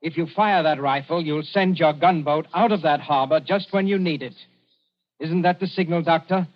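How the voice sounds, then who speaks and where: An actor playing a cool young colonial official, speaking in a dry, unhurried way in a 1940s radio drama. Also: male voice, on the high side, natural voice. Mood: accusing. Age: young adult. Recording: dull 1940s radio broadcast.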